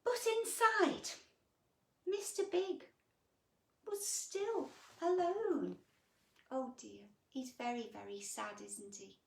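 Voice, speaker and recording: A woman reading a picture book to young children in an expressive, gentle way, with dramatic pauses, drawn-out words and chatty, sympathetic asides. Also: high voice, gravelly, slight background noise. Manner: gentle, sympathetic, addressing the child listener with tag questions. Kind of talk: animated bedtime story reading with chatty asides to young listeners. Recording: roomy echo, distant microphone.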